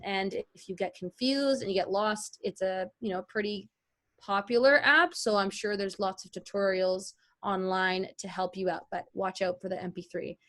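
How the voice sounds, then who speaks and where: A woman's voice: mid-range voice, fairly bright tone. A woman giving an informal lesson as a voice-over artist, chatty and explanatory with emphatic points. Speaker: voice-over artist teaching a class. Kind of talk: informal instructional talk. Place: indoors, online video call.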